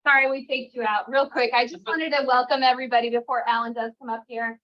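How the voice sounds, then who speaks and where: Woman, fairly high voice, venue host, chatty announcements, dull lo-fi sound. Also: fairly even intonation, fast talker. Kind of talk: welcome announcement. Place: meeting room. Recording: dull lo-fi room recording.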